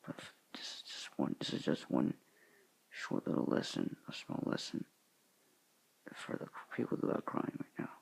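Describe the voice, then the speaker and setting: A teenage boy talking to his viewers in a soft, hushed, halting whisper, earnest and sorrowful in tone. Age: teens. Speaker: student vlogger. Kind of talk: whispered somber address to viewers.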